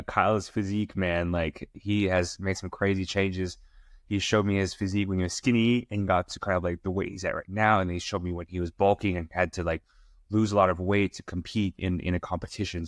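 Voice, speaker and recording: A man's voice: low-pitched, dry recording, clean studio sound. A young man hosting an interview, friendly and enthusiastic, often calling his guest "man". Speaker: host. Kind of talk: casual interview talk.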